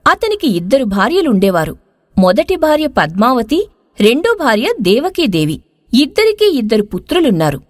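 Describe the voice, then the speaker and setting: A woman reads scripted story narration. Her voice is high and animated in pitch, and the sound is dry and close. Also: normal speed, no echo.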